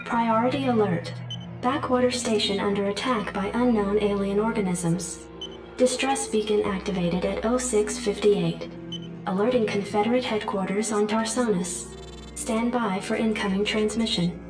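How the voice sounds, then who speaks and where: A woman's voice: mid-range voice, normal speed, natural voice. A woman acting an impassive military computer in a video game, making clipped, impersonal announcements. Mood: impassive, alerting. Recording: echoing game audio over music.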